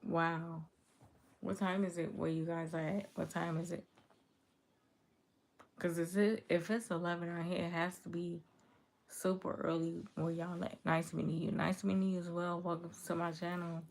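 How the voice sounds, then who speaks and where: A woman's voice: lowish voice, flat delivery, normal speed, creaky, a little mellow. A woman from Chicago hosting a livestream, chatting easily with viewers and answering their comments aloud. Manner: easygoing, chatty, reads chat comments aloud and answers them. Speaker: livestream host. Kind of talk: casual livestream chat with viewers.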